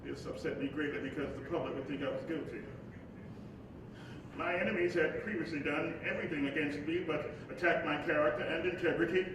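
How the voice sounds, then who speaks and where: An earnest, reflective preacher and father of the civil rights era, acted by a man on stage. His voice sits fairly high and is oratorical, with halting asides. It comes from far off in a very echoey, rumbling hall. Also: fairly even intonation, natural voice. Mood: indignant. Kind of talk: live stage play monologue and dialogue. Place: live stage before an audience. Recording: echoey hall, distant mic, noisy rumble.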